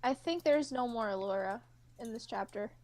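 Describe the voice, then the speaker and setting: A woman's voice: fairly high pitch, normal speed, darkish tone. A woman chatting casually with friends on a voice call. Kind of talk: casual chat among friends. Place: online voice call.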